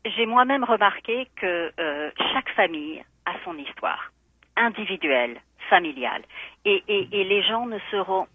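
A woman's voice: medium pitch, slightly rough, no echo. Woman on a tinny phone line. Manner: earnest, thoughtful, hesitant fillers. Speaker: school board candidate. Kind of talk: radio interview answers.

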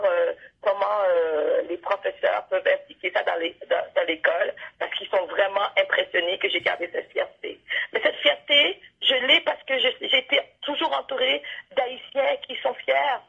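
A woman with a Canadian French accent giving reflective interview answers, earnest and halting, repeating words for emphasis. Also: mid-range voice, creaky, slightly rough, phone-quality sound. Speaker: interview guest, playwright and storyteller.

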